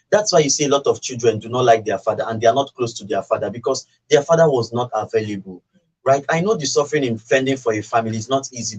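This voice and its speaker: A man's voice: medium pitch, low-quality recording. A man with a Nigerian accent speaking as a studio guest in a conversational radio discussion, earnest and emphatic. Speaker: studio guest giving advice.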